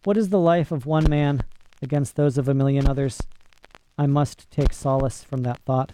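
A man playing a solemn old emperor in a storybook, measured and regretful yet commanding, with slight background noise. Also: medium pitch, fairly even intonation, warm tone, lightly acted, rough recording. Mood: sad, resolute. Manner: measured, grave, sorrowful.

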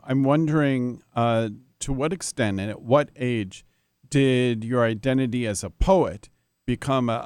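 Smooth-voiced male interview host. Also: mid-range voice, a little mellow, no room sound, studio quality. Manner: measured, formal phrasing with fillers. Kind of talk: radio-style interview.

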